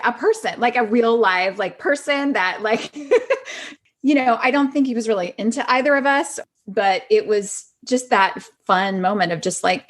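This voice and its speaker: A woman speaking as a guest in a casual chat, telling personal stories in an informal, halting way peppered with "like". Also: on the high side. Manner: informal, halting, heavy use of "like". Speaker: podcast guest, actress.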